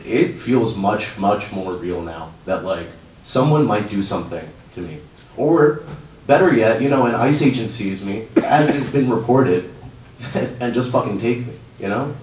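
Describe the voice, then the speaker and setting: A man's voice: fairly low pitch, expressive, a little mellow. Young man pleading in an echoey chamber. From child of immigrants. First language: Urdu. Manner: halting, many restarts, rising to pleading urgency. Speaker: public commenter at a council meeting. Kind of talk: impassioned public comment. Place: council chamber. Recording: roomy hall, distant mic, faint hum.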